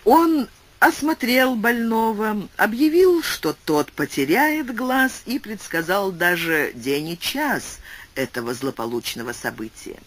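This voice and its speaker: Man, audiobook narrator, high voice, very lively intonation, expressive literary reading. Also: slow. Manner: lively, swooping delivery with shifting pace. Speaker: audiobook reader.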